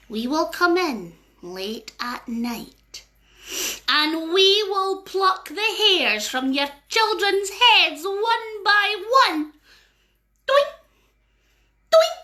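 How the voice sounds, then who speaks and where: A woman voicing a band of sly, vengeful fairies in a storytelling, drawing out her threats slowly. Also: very high voice, pushed and bright, exaggerated voice. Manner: slow, drawn-out threats.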